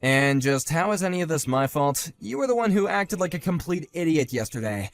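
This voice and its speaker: A man voicing a calm, sardonic grown man who talks in a level, dry and cutting way, in a one-man voiced drama. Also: fairly high pitch, fairly quick, soft background music.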